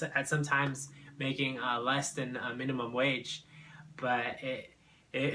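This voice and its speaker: A man's voice: medium pitch, sharp tone. A young man from Brazil, telling his own immigration story in an unscripted, hesitant way full of fillers and restarts. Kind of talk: personal testimony, unscripted.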